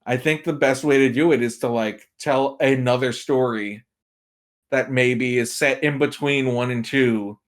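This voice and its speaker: A man's voice: mid-range voice, steady tone, unhurried. A man chatting casually and giving emphatic opinions as a podcast guest, repeating points for effect. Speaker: podcast guest, film and game pundit.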